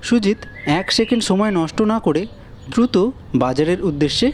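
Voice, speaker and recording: A man's voice: sits high, animated, brisk, a little hiss or hum. A man reading scripted narration for a cartoon folk story.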